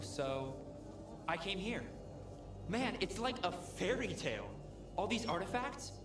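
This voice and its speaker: High-voiced earnest medic, echoey room. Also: male voice. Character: earnest, idealistic male field medic among stalkers. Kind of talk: video game character dialogue. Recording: echoey room, music behind.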